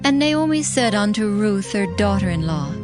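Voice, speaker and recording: A woman narrates a scripture story from a script. Her mid-range voice is formal and measured, and quiet music runs beneath it. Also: medium pitch, very animated.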